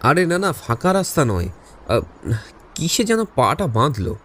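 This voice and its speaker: An actor playing a detective's doubtful young sidekick, telling an audio story expressively. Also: male voice, a little high, big pitch swings, a little slow, a bit bright, slight background noise. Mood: flustered. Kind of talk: dramatic audio story narration and dialogue. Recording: reverberant voice over music bed.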